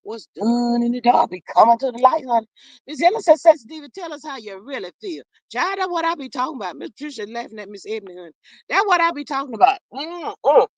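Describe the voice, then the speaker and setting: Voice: sits high, expressive, fast, dry recording, low-quality recording. Someone putting on a fretful old mama. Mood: gossipy chatty. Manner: stammering fillers, calls everyone honey and child. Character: older woman, a fretful, chatty mother. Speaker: livestream character reading viewer comments. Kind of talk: improvised comedic character livestream.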